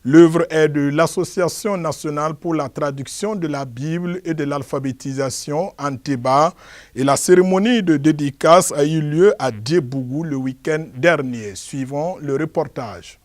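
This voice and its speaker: A man reads the news in a measured, formal way. His voice sits slightly high. The recording is dry and close, with no room sound. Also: no echo. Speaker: news presenter. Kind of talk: scripted news narration.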